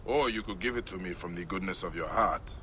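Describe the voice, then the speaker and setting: A man's voice: low voice, a little fast, sharp tone, slight background noise. A man voicing a grave, dry-witted warrior in a game cutscene, speaking tersely. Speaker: game protagonist. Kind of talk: video game cutscene acting.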